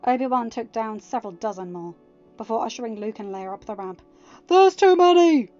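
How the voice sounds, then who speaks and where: Woman reader, mid-pitch, even storytelling; muffled home recording with soft music underneath. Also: fast, dry recording. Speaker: fanfiction audiobook reader. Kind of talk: storybook narration, casual outro chat.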